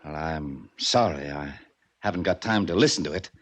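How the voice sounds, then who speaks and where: Deep-voiced, cold-blooded crime boss. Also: male voice, normal speed. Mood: cold, smug. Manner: mock-courteous, menacing. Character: smooth-talking, cold-blooded male gambling syndicate boss. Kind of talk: 1940s radio acting.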